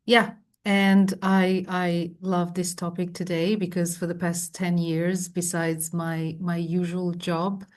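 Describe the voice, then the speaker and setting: A woman from Croatia introducing herself on an online panel, halting and searching for words. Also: on the low side, flat delivery, a little mellow. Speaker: director.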